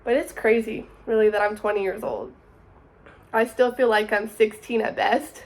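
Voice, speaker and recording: A woman's voice: a little high, fairly even intonation, normal speed. A woman of twenty, narrating her summer in a chatty, upbeat vlog voice-over. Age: 20s. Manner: chatty, upbeat, says "super" often. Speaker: vlogger.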